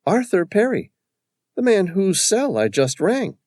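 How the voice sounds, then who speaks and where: A man's voice: high voice, very animated, a little slow, darkish tone, no room sound, studio quality, pitched above the performer's own voice. A male narrator voicing a worried uptown woman around forty on the phone in a storybook reading. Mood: anxious.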